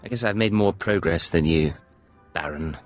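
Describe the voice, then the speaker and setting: A confident imperial agent for a video game. His voice sits fairly low, and he speaks with blunt, cool defiance. Soft music runs behind him. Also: moderate pace. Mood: cool, defiant. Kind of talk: dramatic video game acting.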